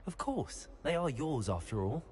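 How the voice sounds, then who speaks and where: A man's voice: fairly high pitch, big pitch swings, normal speed, darkish tone, slight background noise. A man acting a polite, curious young student wizard in a video game, with a courteous, earnest manner.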